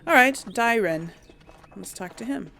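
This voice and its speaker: A woman's voice: a little high, animated, measured pace, vocal fry, pushed and bright, a little hiss or hum. A woman doing casual game commentary, musing aloud to herself and her audience. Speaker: let's play host. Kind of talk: casual game commentary with some role play.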